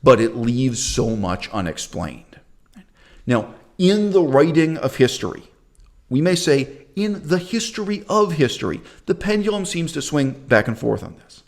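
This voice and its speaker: A man hosting his own channel, giving an emphatic, opinionated talk on history, with loud bursts that drop to quiet asides. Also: on the high side, big pitch swings, unhurried. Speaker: channel host.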